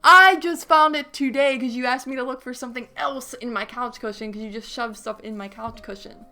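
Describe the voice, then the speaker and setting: Female co-host, sharp bright tone with vocal fry, blunt casual banter. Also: high voice, fairly quick, soft background music. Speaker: podcast co-host.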